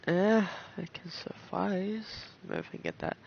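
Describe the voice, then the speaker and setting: A man's voice: sits high, expressive, unhurried, gravelly, muffled recording, a little hiss or hum. A man giving casual, slangy, profane gameplay reactions as he streams a video game.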